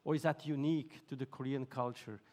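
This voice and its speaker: A man's voice: medium pitch, steady tone, brisk, warm tone. A man moderating an on-stage session, asking long questions in a searching, hesitant way.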